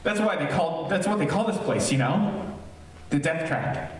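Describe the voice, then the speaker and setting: Lonely guy losing his mind in a radio play, slow rambling, far from mic in big echo. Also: a little high, animated. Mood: uneasy, brooding. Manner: rambling, halting, repeating himself. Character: lonely, unhinged man addressing listeners, sliding from wistful to hysterical. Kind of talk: theatrical old-time radio drama acting. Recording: very echoey stage, distant mic, faint noise.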